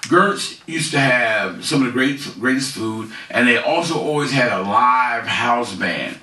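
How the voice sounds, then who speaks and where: A man's voice: mid-range voice, lively intonation, unhurried, sharp tone. A middle-aged man from the Philadelphia area hosting a casual community commentary show from his couch, chatting folksily as he promotes local events. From Philadelphia area, USA. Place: living room on a couch. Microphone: headset mic, far camera. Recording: roomy, slightly distant sound.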